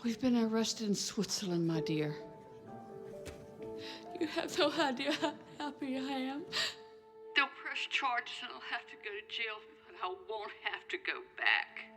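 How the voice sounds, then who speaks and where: Emotional high-voiced loving mother. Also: very animated, measured pace, over music. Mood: relieved, joyful. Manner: tender, emotional. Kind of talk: dubbed film dialogue.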